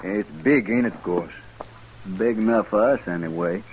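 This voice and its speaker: An actor playing a bickering, uneasy outlaw on the run, with a rustic frontier drawl, in an old-time radio western. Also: male voice, sits low, moderate pace. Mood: cautious. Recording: noisy vintage radio recording.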